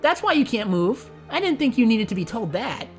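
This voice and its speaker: A woman doing a squeaky, giddy cartoon pony girl. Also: very animated, brisk, faint music behind. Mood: sinister glee. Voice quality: squeaky and bubbly. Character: giddy hyper female cartoon pony, menacing sweetness.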